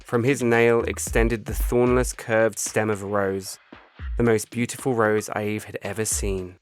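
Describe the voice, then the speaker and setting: Male author-narrator, lower register, solemn and deliberate delivery of literary fiction, faint music bed. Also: flat delivery. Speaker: author reading own short story.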